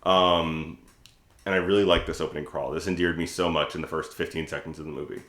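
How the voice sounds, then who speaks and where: A man's voice: rumbling low, fairly even intonation, fairly quick, a bit bright. A man speaking as a podcast co-host in casual chat, earnest and opinionated. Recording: echoey room.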